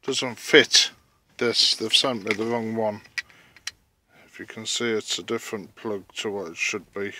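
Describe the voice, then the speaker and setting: A man giving casual, halting hands-on commentary as he thinks aloud through a DIY job, recorded on a handheld phone. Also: on the low side, fairly even intonation, noisy background. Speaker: vlogger narrating a DIY car radio install.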